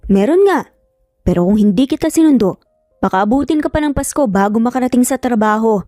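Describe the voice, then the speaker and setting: A woman's voice: fairly high pitch, expressive, fairly quick, mellow, no room sound, clean studio sound, faint music behind, lightly acted. Woman doing a curt, arrogant male boss. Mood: sarcastic. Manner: curt, sarcastic, commanding. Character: arrogant, cold, stern billionaire male boss.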